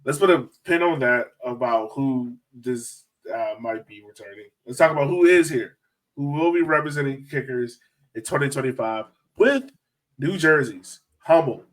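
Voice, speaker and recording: A man's voice: mid-range voice, expressive, moderate pace, poor audio. A man hosting a sports fan podcast, chatting informally and enthusiastically. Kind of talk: casual panel chat.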